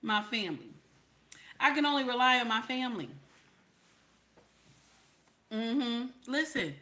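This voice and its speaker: A woman's voice: a little high, very animated, a little slow, pushed and bright. A woman hosting a livestream, speaking frankly and conversationally to her viewers, often checking in with "okay?". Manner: emphatic, keeps repeating "okay?" to her audience; reassuring, frank and conversational, with some swearing. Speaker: livestream host. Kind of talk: casual livestream talk to viewers.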